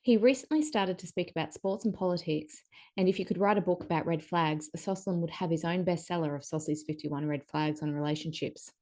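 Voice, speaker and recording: A woman's voice: fairly low pitch, fairly quick, warm tone. Curious woman hosting an interview. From Australia. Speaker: podcast host. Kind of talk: interview host. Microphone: video call.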